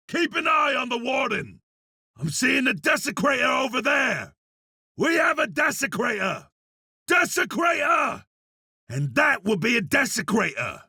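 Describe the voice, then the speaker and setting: Gravelly shouting berserker warrior. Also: male voice, very high voice, no room sound, clean studio sound, full character voice. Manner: forceful, emphatic, barking short warnings. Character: loud, brash iron berserker warrior, male video game hero. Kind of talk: video game combat callouts.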